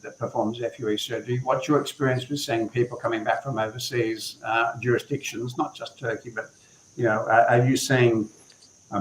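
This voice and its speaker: A man, a hair restoration surgeon, moderating a live panel discussion over a video call, speaking in a measured way with fillers and repeated words. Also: medium pitch. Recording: roomy echo on a video call.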